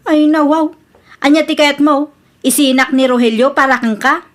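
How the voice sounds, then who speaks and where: A woman's voice: high-pitched, little pitch movement, moderate pace, lightly acted. A woman playing an exasperated young woman fed up with her ex, in a comedy radio drama. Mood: exasperated, scolding.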